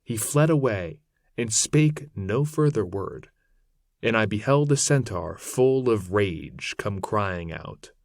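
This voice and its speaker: Male narrator, epic poetry recitation, slow deliberate pace, animated intonation, solemn, theatrical, archaic English. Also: fairly low pitch, no echo, clean studio sound. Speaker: narrator reading poetry aloud.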